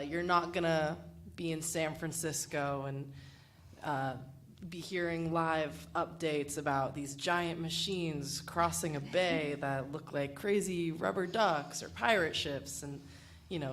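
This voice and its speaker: Low-voiced woman with noisy room ambience. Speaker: radio panelist. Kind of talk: casual panel discussion talk.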